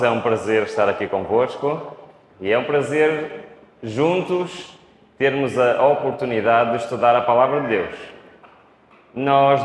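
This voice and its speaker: A man's voice: medium pitch, slight background noise. A man from Portugal with a European Portuguese accent, preaching a Bible study and asking the audience questions. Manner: unhurried, asks the audience questions. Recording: echoey hall.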